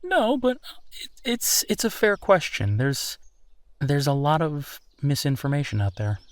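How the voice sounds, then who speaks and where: A man playing an easygoing, affectionate werewolf boyfriend in an intimate audio roleplay, speaking earnestly and haltingly. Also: on the high side, huge pitch swings, no room sound. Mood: patient, explaining. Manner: hesitant, chuckling, earnest, halting phrasing. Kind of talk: intimate boyfriend roleplay, naturalistic one-sided conversation.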